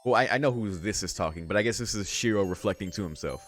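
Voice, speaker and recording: Very low-voiced man reading aloud. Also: fairly bright tone. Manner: measured narration broken by casual slangy asides. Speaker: let's play host. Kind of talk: reading a visual novel aloud with casual reaction commentary. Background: music.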